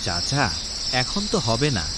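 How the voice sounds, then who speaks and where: A man's voice: medium pitch, big pitch swings, unhurried, very noisy. A man voicing an uneasy, reluctant village mortuary worker in a horror cartoon, polite and hesitant. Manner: hesitant, questioning. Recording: loud night insects behind voice.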